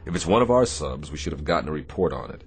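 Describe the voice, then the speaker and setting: Very deep-voiced Navy captain. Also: male voice, sing-song, slightly rough, heavily acted. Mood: wry, puzzled. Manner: brisk, authoritative. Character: commanding, wry Navy destroyer captain. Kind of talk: radio theatre acting.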